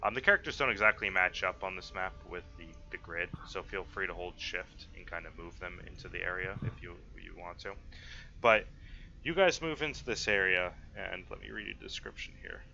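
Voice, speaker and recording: A man running an online tabletop game as game master, explaining and narrating informally in halting phrases. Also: low voice, gravelly, bright, forceful tone. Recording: dull lo-fi mic with faint noise.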